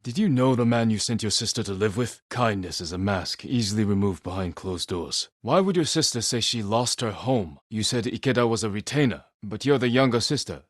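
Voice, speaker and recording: An actor playing a stoic, honorable samurai warrior in a video game, speaking in a measured, formal and grave way. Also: male voice, lowish voice, expressive, no echo, studio quality. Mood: grave, questioning. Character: stoic, honorable samurai warrior, adult man, solemn and protective. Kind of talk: dramatic video game acting.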